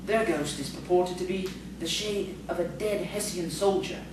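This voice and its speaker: A woman's voice: on the low side, moderate pace, close to an everyday voice. A woman playing a polite, eager, bookish male schoolteacher in audio theatre, with a hesitant, formal manner. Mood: eager, informative. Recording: distant, very echoey, noisy stage recording.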